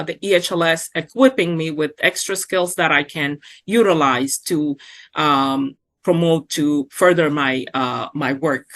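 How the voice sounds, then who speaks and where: A middle-aged woman from South Sudan, a native Arabic speaker, telling her career path in a halting way with many fillers and restarts. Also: sits low, fairly bright tone. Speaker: program alumna and epidemiologist. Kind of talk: recounting career path in a webinar.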